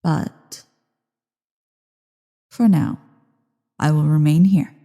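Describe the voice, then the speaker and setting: A woman voicing an ancient evil female dragon in a fantasy ASMR roleplay, haughty, imperious and condescending, with deliberate phrasing and a breathy, gravelly, dark voice. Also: deep voice, fairly even intonation, full character voice. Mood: condescending, deliberating. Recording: long cavernous reverb.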